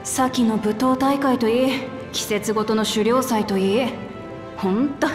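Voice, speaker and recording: A woman plays a brash young princess in a fantasy game. The voice is high, talking in a blunt, boyish, casual way. It echoes in a hall over clearly audible music. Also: fairly even intonation, measured pace, lightly acted. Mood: wry, fond. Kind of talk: video game voice acting.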